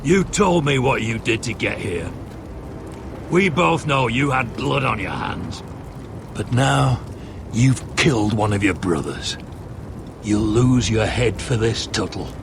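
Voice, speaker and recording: Gravel and creak run through this stern officer, who speaks with scornful menace at a middling pitch. It is dramatic game dialogue over a clearly noisy, rumbling background. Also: male voice, lively intonation, slow. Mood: accusing. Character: stern, accusing senior officer of a sworn brotherhood.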